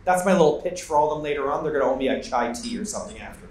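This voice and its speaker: A man's voice: mid-range voice, expressive, racing, a little mellow. A man giving informal advice as a panelist at a conference. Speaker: panelist, investment adviser. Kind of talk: informal advisory pitch. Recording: echoey, distant from the mic.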